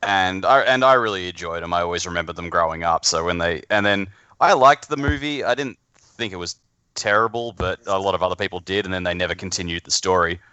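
Male podcast panelist, low clear voice, halting informal delivery, slightly dull mic. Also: pushed and bright, no room sound. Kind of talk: casual pop-culture chat.

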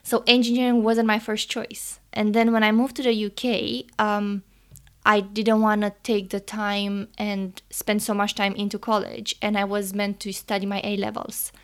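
A woman's voice: mid-range voice, level tone, normal speed. A young woman from Romania with a Romanian accent, a podcast guest answering questions earnestly, with some non-native phrasing and easy laughter. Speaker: podcast guest, engineering student. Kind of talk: casual interview answers.

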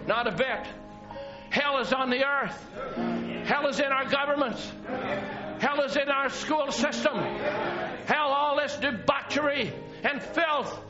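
A man preaching a fiery sermon to his church congregation, often shouting in a high, strained voice. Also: fairly even intonation, a little slow, pauses often, music in the background. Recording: dull lo-fi church recording with congregation.

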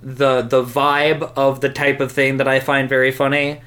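A man's voice: mid-range voice, flat delivery, normal speed, a bit bright. A man co-hosting a podcast in casual comedic chat, rambling along with swearing and hyperbole. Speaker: podcast co-host.

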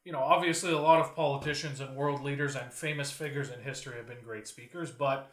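A man's voice: medium pitch, fairly even intonation, fast talker, reverberant. A man hosting a casual podcast, telling stories and posing questions in a teasing, emphatic way. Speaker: podcast host asking questions. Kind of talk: casual banter and storytelling.

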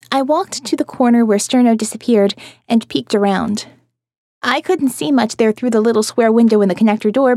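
A woman's voice: a little high, darkish tone, dry recording, clean studio sound. A woman narrating first-person audio fiction in a wry, sardonic manner.

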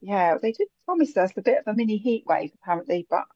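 A woman co-hosting a podcast in casual chat, talking warmly with frequent ums and little laughs. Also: medium pitch, sing-song, fast, husky, dry recording, lo-fi sound. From England. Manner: chatty, giggly, hesitant with many ums. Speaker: podcast co-host.

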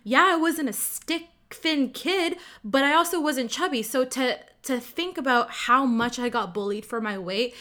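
A woman's voice: high voice, a little slow, few pauses, sharp tone. Candid woman telling her story. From Ottawa, Canada. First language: Cantonese. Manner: candid, conversational, frequent "like" fillers. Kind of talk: personal storytelling, casual.

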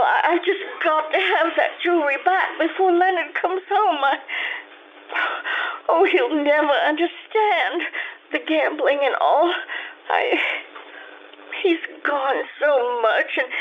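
A woman voicing a high, flustered society wife in an old-time radio play, stammering and pleading as she hides her secrets. The sound is thin and phone-like, as if from a tinny line. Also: a little hiss or hum, heavily acted. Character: anxious wealthy wife hiding gambling debts.

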